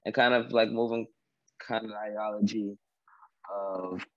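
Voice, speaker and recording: A young man with a lowish voice, first language Haitian Creole, talks slowly through his art with plenty of fillers. He is heard over a dry, dull video call line from a room at home. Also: steady tone, dry recording. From Washington, D.C. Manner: halting, many fillers. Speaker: artist. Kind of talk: video call artist talk. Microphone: laptop video call.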